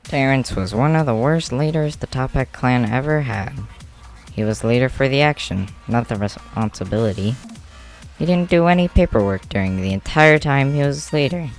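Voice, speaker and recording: A man's voice: medium pitch, lively intonation, normal speed, close to an everyday voice. A man playing a stubborn, polite, workaholic clan leader in an amateur comic dub, talking in an easygoing, emphatic way. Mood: disdainful. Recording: music behind the voice.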